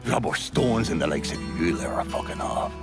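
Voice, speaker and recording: A deep-voiced, bitter Irish mobster. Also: male voice, very animated, a little fast, gravelly, exaggerated voice. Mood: furious. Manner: sneering, profane. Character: bitter, foul-mouthed Irish mobster with a grudge. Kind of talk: video game cutscene acting. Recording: music behind the voice. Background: music.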